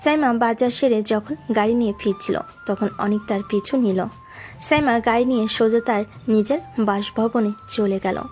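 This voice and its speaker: A woman's voice: fairly high pitch, normal speed, a little mellow. A woman narrating a scripted romantic story in a dramatic storytelling style. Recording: music behind the voice.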